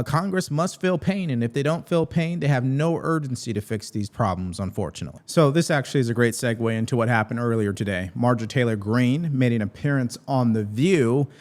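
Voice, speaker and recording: A man's voice: medium pitch, darkish tone. An American man speaking as the host of a political commentary show, addressing viewers directly.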